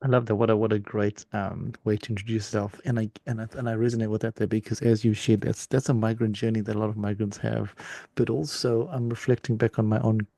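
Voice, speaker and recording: A man from Zimbabwe hosts a conversational podcast, talking quickly in a low, gravelly, mellow voice. He responds warmly to his guest and often repeats words as he works through his thoughts. The sound is dry and close. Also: little pitch movement, no echo. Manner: warm, affirming, repeats words as he thinks. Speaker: podcast host. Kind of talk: conversational interview.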